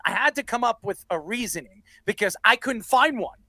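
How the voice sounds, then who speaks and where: A man's voice: extremely high pitch, animated, brisk, bright, forceful tone, no echo. A man hosting a sports debate show, blunt and combative, given to ranting and swearing.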